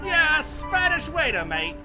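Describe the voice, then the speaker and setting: A man's voice: very high voice, big pitch swings, measured pace, full character voice. A man voicing a chirpy Cockney bloke who banters cheekily in rhyming slang, with a Cockney accent. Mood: cheeky. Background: music.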